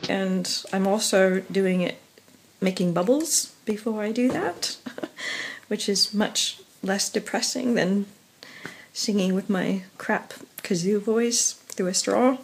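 A young woman speaks slowly into a phone held at arm's length, her weakened voice husky and breathy. She stops and starts, fills gaps with little hesitations, and lets small laughs slip in between. Her pitch sits in an ordinary middle range. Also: rough recording. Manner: halting, many fillers and pauses, soft laughs. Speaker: professional singer vlogging. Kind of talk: casual personal vlog. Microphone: phone camera at arm's length.

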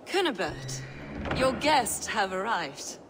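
A woman's voice: medium pitch, sing-song, takes it slowly, background noise. A woman acting a mocking, silky villainess who plays the gracious host, theatrical and taunting, in a video game. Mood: mock sweetness.

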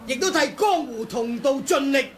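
Sneering martial artist, Hong Kong Cantonese accent, very high voice, faint hiss, old radio play. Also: male voice, animated, moderate pace. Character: scornful male martial artist siding with the leader. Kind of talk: 1980s radio drama acting.

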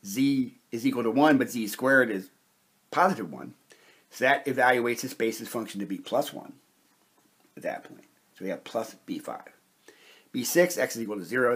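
A man with a slightly husky voice giving a methodical, step-by-step explanation as a science instructor at a whiteboard. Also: mid-range voice, expressive, moderate pace, pauses often. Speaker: chemistry instructor.

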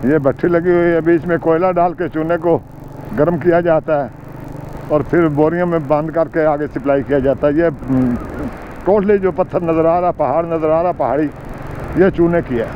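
An older man with a Pakistani Urdu accent talks from the seat of a motorcycle in a fairly high voice, chatty and guide-like. The audio is dull and muffled, and engine and wind noise run loud behind him outdoors. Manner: chatty guide, pointing out sights, reciting short prayers along the way. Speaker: moto vlogger. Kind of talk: casual travel commentary while riding. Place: outdoors on a motorcycle on mountain roads. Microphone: helmet or bike-mounted camera mic.

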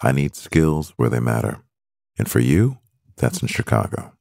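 A man acting a composed, doting CEO boyfriend in an ASMR roleplay, speaking in a measured, gravelly voice. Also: a little mellow, no echo, studio quality, exaggerated voice. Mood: composed, businesslike. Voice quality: very deep, gravelly. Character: powerful male CEO boyfriend, composed, doting, gently teasing.

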